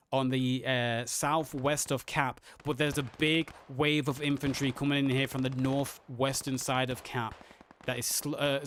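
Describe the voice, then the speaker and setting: A man casting a live online match, his voice hoarse and worn as he narrates the action with rising excitement. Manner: excited, builds to high pitch on big plays. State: losing his voice. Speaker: esports caster. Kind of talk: live game commentary.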